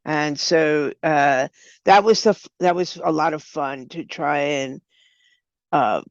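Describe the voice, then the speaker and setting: An older woman with a low, smooth voice, speaking conversationally as an interview guest about her work. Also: slow, dry recording, lo-fi sound. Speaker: guest, writer and director.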